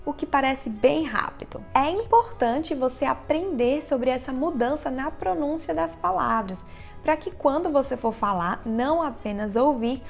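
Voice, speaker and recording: High-voiced woman teaching English tips. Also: lively intonation, moderate pace, faint music behind. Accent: Brazilian Portuguese. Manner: clear, explanatory, direct address to viewer. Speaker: English teacher, channel host. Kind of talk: instructional vlog narration. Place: living in the United States.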